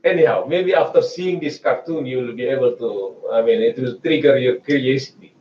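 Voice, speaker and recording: A man's voice: medium pitch, a little fast, a little mellow. A man in his forties with an Indonesian accent, giving a chatty research seminar lecture with hesitant restarts. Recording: echoey, distant, muffled room mic.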